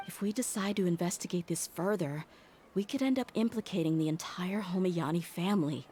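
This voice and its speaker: A woman's voice: lowish voice, darkish tone, slight background noise, natural voice. A woman playing a proud, loyal young mercenary bodyguard in a video game, speaking bluntly and earnestly.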